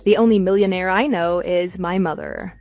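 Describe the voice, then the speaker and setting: A woman's voice: fairly low pitch, steady tone, normal speed, a little mellow, faint music behind, lightly acted. Woman acting a composed, dryly mocking wronged wife. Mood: matter-of-fact. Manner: matter-of-fact, dismissive, dryly mocking. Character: composed, wry wife divorcing her cheating husband. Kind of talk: scripted audio drama dialogue.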